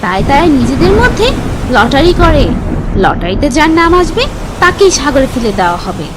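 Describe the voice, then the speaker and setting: A woman's voice: sits high, sing-song, normal speed, noisy background. A woman reading a scripted religious story for children in a teacherly manner, as a storyteller. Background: music.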